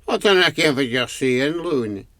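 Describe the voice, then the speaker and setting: A man voicing a slow, grumpy old Scottish bell keeper in a storybook tale, grudging and slow to understand. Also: mid-range voice, lively intonation, a bit bright, no echo. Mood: baffled, grumpy. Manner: grudging, questioning, slow to grasp things.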